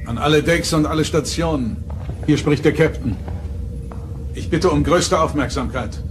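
Man acting a commanding, higher-pitched starship captain. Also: moderate pace, a little hiss or hum, lightly acted. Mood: solemn command. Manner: formal, authoritative. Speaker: voice actor. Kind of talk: German audio drama acting. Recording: studio drama with music underneath.

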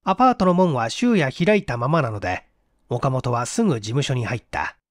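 A man's voice: medium pitch, animated, normal speed, no echo, clean studio sound. A man narrating a mystery story as a storyteller, in a scripted dramatic reading.

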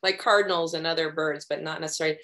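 Middle-aged woman host, lowish voice, relaxed rambling video-call questions. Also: rapid-fire. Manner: friendly, rambling with many fillers and restarts. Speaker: webinar host. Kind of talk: casual video-call interview. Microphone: video call webcam.

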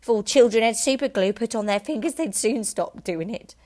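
A woman reads aloud as a bossy, sharp-tongued middle-aged wife in a storybook, scolding and lecturing in a raised, clipped voice. She sounds dismissive and quick to reprimand. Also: a little high, voice pushed up, natural voice.

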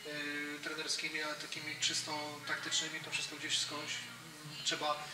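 A man's voice: on the high side, completely flat delivery, normal speed, loud music behind the voice. A man interviewing a guest casually, with short prompting questions and agreement. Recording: distant voice in a noisy, echoey room.

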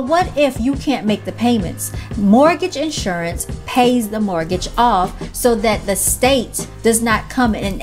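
Thirties woman, vlogger, emphatic advice delivery with rhetorical questions; light music bed. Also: mid-range voice. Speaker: clothing brand owner giving financial advice. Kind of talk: direct-to-camera advice talk. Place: indoor room with fairy lights, seated on couch.

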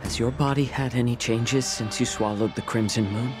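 A man voicing a weary, gentle man with failing eyes in game dialogue, speaking calmly. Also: medium pitch, moderate pace, over music, lightly acted.

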